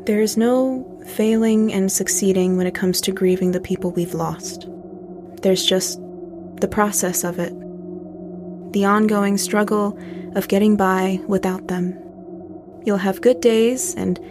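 A woman playing a supportive grief counselor in an audio drama, speaking in a calm, measured, reassuring manner. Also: medium pitch, over music, close to an everyday voice. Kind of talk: naturalistic audio drama acting.

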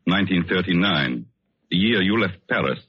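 A man acting a tender, wistful painter in love in a 1940s-style radio drama, speaking softly and hesitantly. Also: low voice, lively intonation, moderate pace, slightly rough, dry recording. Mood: grave, pointed. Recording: dull old radio recording, music behind.

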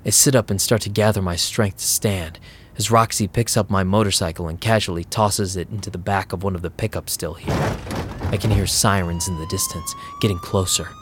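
Wry, animated vampire DJ played by a man. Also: fairly low pitch, a little fast, a little hiss or hum. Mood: tense, wry. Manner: wry, sardonic. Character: weary, rattled male vampire club DJ, narrating his night. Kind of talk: first-person audio drama narration and dialogue. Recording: music behind the voice.

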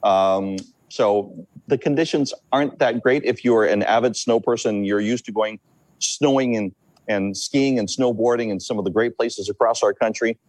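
Halting man explaining island weather. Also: fairly low pitch, darkish tone, dry recording, poor audio. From Hawaii. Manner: halting, repeats words while explaining. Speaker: meteorologist. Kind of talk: weather explainer in a remote news interview.

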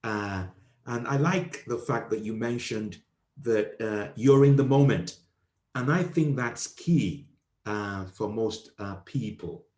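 A man hosting a podcast as a grandiose comedic president, speaking in a put-on British accent with a formal, self-important deadpan manner. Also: lowish voice, takes it slowly. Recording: roomy echo.

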